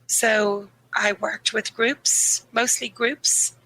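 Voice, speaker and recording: Bright, croaky-voiced woman therapist. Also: mid-range voice, steady tone, poor audio. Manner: earnest, candid, laughs easily, slows on key phrases. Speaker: grief therapist, guest. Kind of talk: reflective conversational interview.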